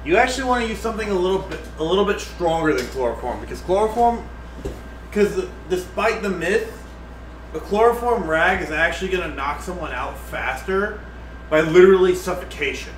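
A man's voice: high-pitched, animated, brisk, faint music behind. A drunk man bantering casually on a livestream, joking and swearing as he goes. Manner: tipsy, profane joking. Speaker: livestream co-host. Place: indoors in a home kitchen. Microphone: distant room mic. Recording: roomy, distant mic, faint noise.

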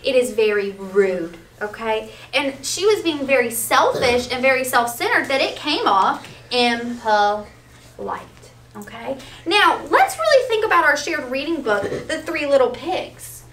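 A woman with a high, bright voice leads a read-aloud lesson for young children. She is animated, checking in often with questions. The recording is roomy, picked up from a distance. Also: a little slow. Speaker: elementary school teacher. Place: school classroom, children seated on the floor. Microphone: distant camera. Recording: roomy, distant, faint classroom noise.

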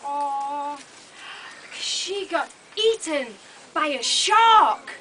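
A woman's voice: sits high, animated, extremely slow, sharp tone. A woman narrating a puppet show to young children with exaggerated, simple, questioning phrases. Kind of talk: animated storytelling to young children. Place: open-sided thatched hall, on a small stage. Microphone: camera some metres away. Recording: noisy open-hall recording, camera at a distance.

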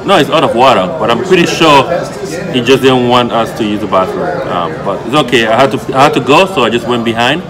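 A man's voice: mid-range voice, animated, quick pace, rough recording. Laid back guy doing a casual travel vlog in a restaurant, music going behind him. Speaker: travel vlogger. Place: inside a restaurant.

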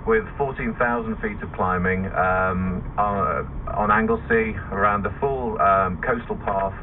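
Bloke in his 40s, lowish voice, easygoing radio guest, tinny recording. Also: fairly even intonation, a little slow. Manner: self-deprecating, easygoing. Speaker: interview guest, endurance runner. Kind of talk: casual radio interview answers. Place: radio studio. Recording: distant, phone-like radio recording, faint noise.

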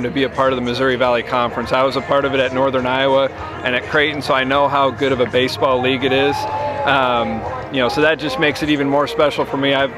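Brief: thirties man, baseball coach, measured postgame answers, music behind him. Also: medium pitch. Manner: measured, many you-knows and restarts. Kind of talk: postgame interview. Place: outdoors on a ballfield at night.

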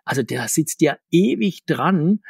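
Male interview guest, husky tone, talkative and self-correcting, dry close sound. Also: a little high, animated, measured pace, a little mellow, dry recording. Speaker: guest, comics author. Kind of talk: conversational podcast interview.

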